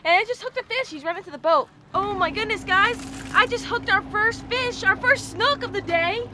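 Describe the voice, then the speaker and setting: High-pitched, excitable American woman vlogger. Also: moderate pace, noisy background. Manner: excitable, exclamatory, informal. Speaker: fishing show host. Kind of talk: casual vlog chat, explaining to viewers. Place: outdoors on a small open fishing boat on water. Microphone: action camera at arm's length or a few feet away.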